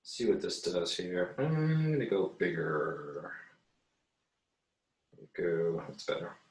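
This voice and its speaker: A man giving a casual drawing tutorial as an art teacher, thinking aloud in a halting, muttering way while he works. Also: sits low, very animated. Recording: roomy echo, distant microphone.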